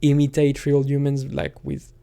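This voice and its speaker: A man speaks in a relaxed, dark-toned voice with plenty of vocal fry. He sits at a middling pitch and rambles casually, like a streamer alone on his channel. His delivery is halting and hesitant as he feels his way toward each thought. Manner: hesitant, halting, thinking aloud. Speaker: streamer and musician talking on own channel. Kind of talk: casual rambling solo talk.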